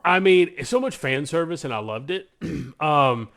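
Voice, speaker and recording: A man's voice: fairly high pitch, animated, moderate pace, vocal fry, a bit bright. A man co-hosting a podcast, chatting casually with an enthusiastic, emphatic delivery. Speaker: podcast co-host. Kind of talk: casual podcast chat about movies.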